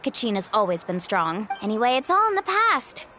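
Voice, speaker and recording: A woman's voice: fairly high pitch, sing-song, brisk, sharp tone, faint music behind. An actress playing a sunny, easygoing young woman, a breezy and friendly guide in a video game. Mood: upbeat. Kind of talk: video game character acting.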